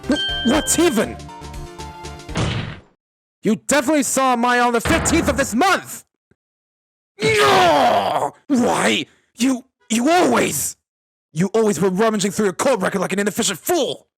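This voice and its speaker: Fan dubber as snooty prosecutor guy, indignant n flustered, pitch all over, music behind. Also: lively intonation, measured pace, vocal fry, pauses often. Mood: flustered outrage. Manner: pompous, stammers when outraged. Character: haughty male prosecutor, indignant, easily flustered. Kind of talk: fan dub courtroom comedy, dramatic video game acting.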